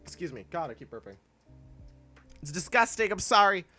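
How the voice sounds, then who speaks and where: A man's voice: high-pitched, big pitch swings, moderate pace, pushed and bright, faint music behind. A young man streaming and chatting casually with his viewers, congested from clogged sinuses, rambling, often cutting himself off and laughing. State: congested, stuffy nose. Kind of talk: casual livestream chat, reading and answering chat.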